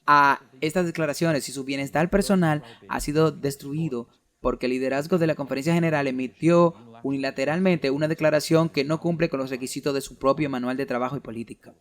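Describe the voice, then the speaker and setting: A man giving a sermon-style lecture in an earnest, argumentative way, reading out quoted documents as he makes his case. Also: a little high. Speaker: preacher giving a talk.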